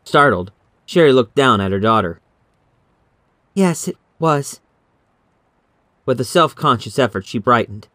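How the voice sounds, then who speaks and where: A man with a mid-pitched voice narrates a horror tale as an audiobook. The sound is dry and close, and the reading is scripted. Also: lively intonation, a little fast, sharp tone, no room sound.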